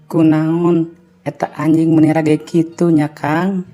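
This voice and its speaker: A man's voice: on the high side, little pitch movement, a little slow, mellow, faint music behind, natural voice. A man voicing a worried village wife asking her husband about barking dogs, in a radio storytelling.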